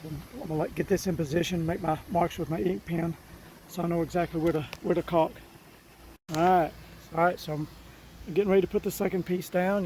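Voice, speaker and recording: A man, his voice rough from a cold, casually narrating his DIY roof work outdoors in a folksy, rambling way. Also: a little high, darkish tone, slight background noise, low-quality recording. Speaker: DIY vlogger. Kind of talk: casual narration while working.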